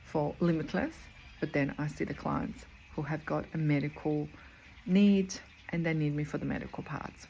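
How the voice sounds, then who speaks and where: A woman's voice: low-pitched, vocal fry, a little mellow, poor audio. A woman in her forties from Croatia, with a Croatian accent, giving an earnest motivational talk as a spiritual coach, repeating phrases for emphasis. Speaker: spiritual coach and medical intuitive. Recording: noticeable background noise.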